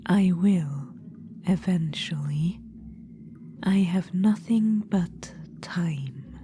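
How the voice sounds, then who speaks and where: A woman voicing a cold, scheming undead witch-queen in a whispered ASMR roleplay, with a dry, mocking manner. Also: drawn-out words. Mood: sly, probing. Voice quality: whispered, soft and low. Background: music.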